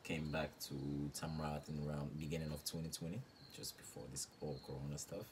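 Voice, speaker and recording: A young man from Morocco with an extremely low voice chats in a relaxed way, full of fillers and quiet laughs. He is recorded close on a phone in a small room, with a faint hiss behind him. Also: fast talker. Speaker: guest rapper. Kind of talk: casual interview. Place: small room with reed-panel wall.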